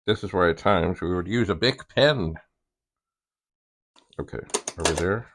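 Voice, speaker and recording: Very low-voiced, slow-talking man. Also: lively intonation, a bit bright. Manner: halting, muttering asides to himself. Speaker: vintage computer hobbyist host. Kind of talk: casual hands-on demonstration, thinking aloud.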